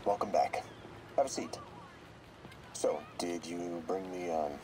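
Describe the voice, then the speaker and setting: A cool scheming therapist. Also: male voice, low voice, steady tone, heavy background noise. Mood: measured. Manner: measured, clinical. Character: calm male therapist, coolly analytical and scheming.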